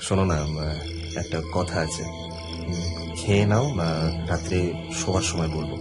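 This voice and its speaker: An actor playing a harried, placating, gossipy husband in an audio horror drama, speaking colloquially and hesitantly with sighs and fillers. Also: male voice, low-pitched, steady tone, moderate pace, close to an everyday voice. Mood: hushed, hesitant. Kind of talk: Bengali audio horror story acting. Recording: background music under the voice.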